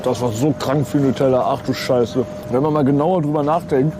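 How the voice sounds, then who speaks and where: A young man streaming live while riding a bike on city streets, talking in a slangy, excitable way and swearing a lot. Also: medium pitch, brisk, over music. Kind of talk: live outdoor stream chat. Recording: outdoor street noise with traffic.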